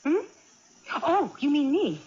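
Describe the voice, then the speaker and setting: Headstrong, childlike young woman, lively swooping voice. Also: sits high, slow, heavily acted. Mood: naive, agreeable. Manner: naive, blithe, willful. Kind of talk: 1980s radio drama acting. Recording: dull, hissy old radio broadcast recording.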